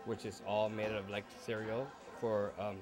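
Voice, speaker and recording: A painter and photographer from Cuba giving short, blunt, earnest answers in an interview at an outdoor art event. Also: male voice, fairly low pitch, little pitch movement, moderate pace. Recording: crowd chatter and music behind.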